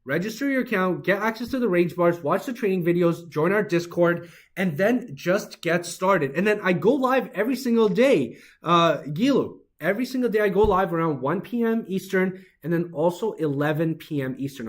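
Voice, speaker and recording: A man hosting an informal trading livestream, hyping with catchphrases and answering viewer comments as he goes. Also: high-pitched. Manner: hype-driven, informal, repeats catchphrases. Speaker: livestream host, trader. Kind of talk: live-stream sales pitch and chat replies. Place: office.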